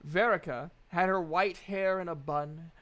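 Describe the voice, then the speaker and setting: A man's voice: high-pitched, fairly even intonation, a little slow, a bit bright. A man with a Southern US accent narrating a tabletop fantasy roleplay game as game master.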